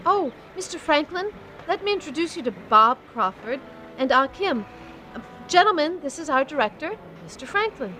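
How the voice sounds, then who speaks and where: A woman plays a confident young movie-company gal in a radio mystery. The voice is high, bright and animated, breezy and chatty. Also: moderate pace, soft background music, full character voice. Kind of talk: old-time detective radio drama acting.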